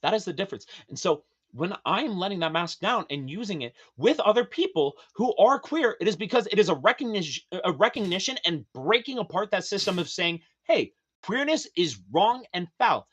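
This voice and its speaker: A man with a high, slightly feminine voice, husky and a little breathy, delivers an emphatic, profane rant. His pitch swings widely, jumping up in sudden outbursts and dropping low for serious points. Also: big pitch swings, normal speed, hardly any pauses, fairly bright tone. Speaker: podcast guest. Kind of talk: casual podcast rant.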